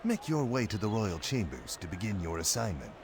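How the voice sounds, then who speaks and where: A pompous royal court official. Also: male voice, fairly low pitch, very animated, brisk, noisy background. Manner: pompous, condescending.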